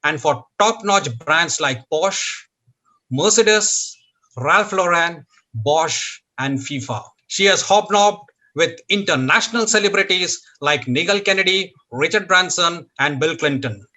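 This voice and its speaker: A man's voice: on the high side, expressive, unhurried, bright, forceful tone, low-quality recording. A man hosting a talk show over a video call, introducing a guest in an announcer-like, emphatic way. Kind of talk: formal guest introduction and interview questions.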